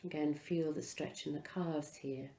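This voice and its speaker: A woman leading a guided relaxation as a yoga instructor, speaking in an unhurried, soothing way with pauses between phrases. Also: deep voice, steady tone, warm tone, roomy sound.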